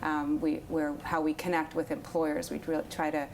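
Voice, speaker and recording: A woman, an executive director, explaining her organization's work as an interview guest in a steady, informative way with frequent fillers. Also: sits low, moderate pace, gravelly, a little hiss or hum.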